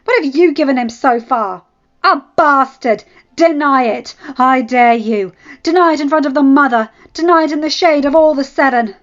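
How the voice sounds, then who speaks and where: A woman's voice: high voice, steady tone, measured pace, muffled recording, voice pushed up. A woman voicing a furious, scornful middle-aged noble lady who hurls insults at a rival. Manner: shrieking insults, venomous.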